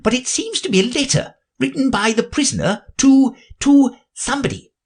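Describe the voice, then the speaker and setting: A man voicing a nervous, fussy rabbit acting as court herald, speaking in a prim, respectful, hurried way. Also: extremely high pitch, expressive, husky, pitched above the performer's own voice, exaggerated voice.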